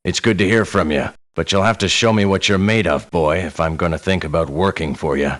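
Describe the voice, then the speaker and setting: An actor voicing a gruff, menacing veteran mercenary in a video game, with a gravelly voice and a blunt, folksy, condescending manner. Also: male voice, low-pitched, fairly quick, fairly bright tone. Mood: stern, measured. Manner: blunt, folksy, talks down to the listener. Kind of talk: video game character voice lines.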